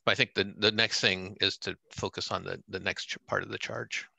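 Man, very low flat voice, gravelly, bright and forceful, halting and measured, town official on a video call. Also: no echo. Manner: halting, full of restarts, measured. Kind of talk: video call meeting reply. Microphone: headset on video call.

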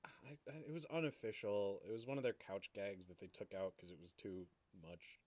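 A man's voice: fairly low pitch, animated, normal speed, darkish tone. A young adult man co-hosting a podcast in casual, crude, profane banter. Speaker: podcast co-host.

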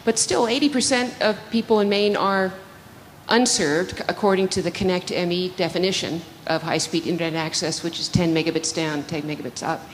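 Middle-aged woman, moderator, measured questions, handheld mic, hall echo. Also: fairly low pitch, steady tone. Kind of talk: onstage interview questions. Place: large hall stage, seated in armchair. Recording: hall reverb, faint room noise.

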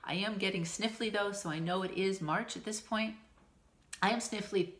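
A woman's voice: medium pitch, normal speed, a bit bright. A woman in her forties with a stuffy nose, chatting casually to camera at home about her week, rambling with ums and small laughs. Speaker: stitching vlogger. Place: small room at home, indoors. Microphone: camera in front at desk distance.

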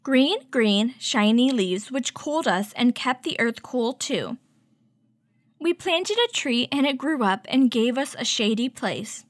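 A woman hosting a children's story time, reading a picture book aloud and teaching with clear, instructive delivery. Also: on the high side, animated, a little slow, dry recording. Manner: clear, instructive, addressing young children with questions.

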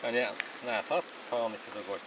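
A man with a Norwegian accent chatting casually outdoors while fishing. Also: mid-range voice. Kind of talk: casual chat. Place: outdoors on a grassy riverbank. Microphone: camcorder a few metres away. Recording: distant camcorder audio, faint water noise.

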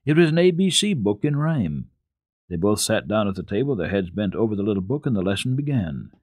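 An older man giving a deliberate, expressive, clearly enunciated dramatic reading of a children's storybook. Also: on the low side, no echo, studio quality. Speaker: storyteller, radio program host.

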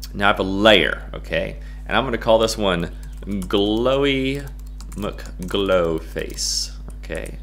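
A middle-aged American man giving a casual, playful software tutorial in a goofy, jokey way. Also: fairly low pitch. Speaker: photo-editing tutorial presenter.

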